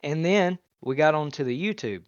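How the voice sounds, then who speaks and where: A young man telling his story in a casual interview over a video call, loud and animated. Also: fairly high pitch, normal speed. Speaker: YouTuber, interviewee. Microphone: video call mic. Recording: muffled, dry video call audio.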